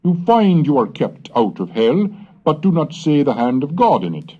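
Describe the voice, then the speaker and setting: A man's voice: a little high, sing-song, normal speed. A man reading as a fiery colonial preacher. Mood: solemn, warning. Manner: solemn, rising, emphatic delivery. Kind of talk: reading aloud a sermon. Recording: dull old cassette recording.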